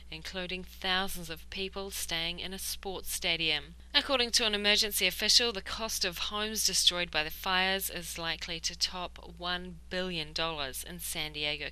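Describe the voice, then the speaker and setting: A young woman hosting an English lesson show and reading the news in a clear, steady way. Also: fairly low pitch, rough recording. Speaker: English teacher and show host.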